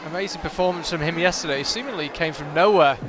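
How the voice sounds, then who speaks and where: A man's voice: a little high, fast, over music. A man doing live commentary on a climbing competition, chatty and analytical, thinking aloud as the action unfolds in a big hall. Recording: loud crowd in a big hall.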